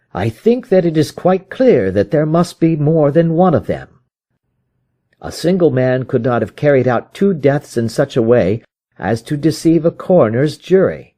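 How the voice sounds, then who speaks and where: A man's voice: on the high side, warm tone. A man reading a storybook detective who is keen, confident and methodical, reasoning aloud with emphatic, probing questions. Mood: urgent, emphatic.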